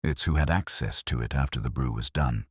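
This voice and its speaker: A man voicing a middle-aged police inspector who speaks mildly and dryly, with a very deep husky voice. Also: brisk, dry recording. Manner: mild, dry delivery.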